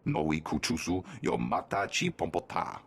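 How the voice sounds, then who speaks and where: A man voicing a rough-voiced alien speaking an invented language in a video game. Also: rumbling low, huge pitch swings, moderate pace, heavily acted. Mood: matter-of-fact. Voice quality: husky, rough.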